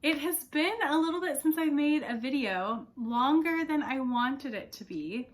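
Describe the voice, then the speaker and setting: A woman's voice: sits high, expressive, a little slow. A young woman book vlogger chatting casually to camera, her voice not fully back after an illness, rambling with fillers.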